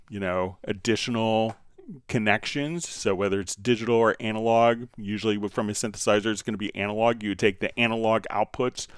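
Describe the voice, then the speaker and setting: A man hosting a software tutorial livestream, explaining step by step in an informal, halting way as he thinks aloud. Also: lowish voice, clean tone, no echo, studio quality. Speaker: host answering viewer questions on a software livestream.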